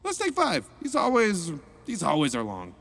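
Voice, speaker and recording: An actor playing a cheerful, jokey male rehab counselor in an audio drama, with a playful, lively delivery. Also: sits high, moderate pace, background noise, heavily acted.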